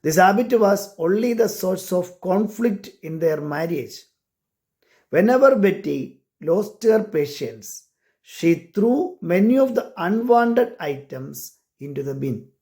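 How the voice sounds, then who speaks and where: A man with an Indian accent, a non-native English speaker, talking earnestly and deliberately as he presents and reads his own writing. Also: high-pitched, animated, mellow. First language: not English. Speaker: amateur short story writer and care worker. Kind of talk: personal introduction and reading aloud of own short story.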